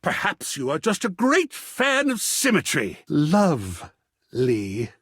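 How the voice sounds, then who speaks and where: An actor playing an effusive, sensitive male artist in a video game, with florid, dramatic phrasing and a husky voice. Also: a little high, wildly swooping pitch, takes it slowly, a bit bright, no room sound, studio quality, full character voice. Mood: grateful, flustered. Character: effusive, sensitive, theatrical male artist, an art-obsessed optimist. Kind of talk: theatrical video game character dialogue.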